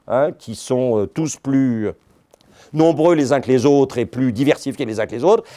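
A man's voice: a little high, a little mellow. A middle-aged man from France giving a lecture on history, digressive and ironic, dropping frequent asides to his audience. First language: French. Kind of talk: university-style history lecture. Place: lecture hall.

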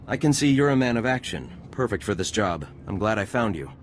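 An actor playing an effusive, flattering senator's aide speaking formally in a video game. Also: male voice, on the low side, fairly quick, a little hiss or hum. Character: fawning, unctuous senator's male aide.

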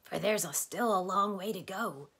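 A woman's voice: mid-range voice, normal speed, fairly bright tone, breathy edge, voice pushed up. A woman voicing an earnest, brave male watchdog who speaks firmly and dutifully.

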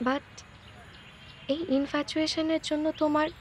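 A young Bangladeshi woman, played by an actress with a high-pitched voice, gentle but resolute. She speaks earnestly in naturalistic film dialogue, slipping in English words, over faint outdoor noise. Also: little pitch movement, moderate pace, natural voice. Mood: firm, pleading. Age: young adult.

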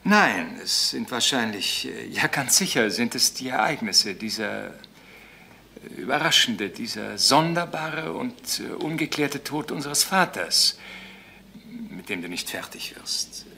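Crime drama son: medium-pitched, very varied intonation, pedantic, patronising, formal, on a faintly noisy old soundtrack. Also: male voice, big pitch swings, measured pace, gravelly, pushed and bright, exaggerated voice. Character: pompous, patronising adult son, coolly reasoning, fussy. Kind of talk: naturalistic German crime drama acting.

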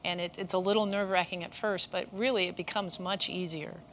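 Low-voiced woman bowling coach, relaxed. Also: a little fast, a little hiss or hum. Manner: relaxed, conversational, shares own experience. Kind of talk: instructional chat. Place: bowling center, seated at a table.

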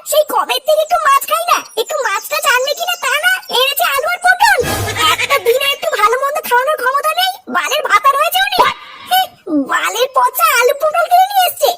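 A performer voicing a scornful, sharp-tongued old wife who scolds and swears in a comedy cartoon. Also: extremely high pitch, lively intonation, quick pace, heavy background noise. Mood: furious, scornful. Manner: scolding, foul-mouthed.

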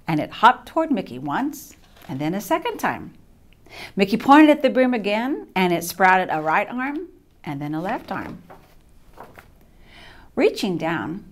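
An older woman reading a children's bedtime story aloud in an expressive, deliberate way, with dramatic emphasis. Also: mid-range voice. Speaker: storybook reader, childcare coordinator. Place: small indoor set with curtain backdrop.

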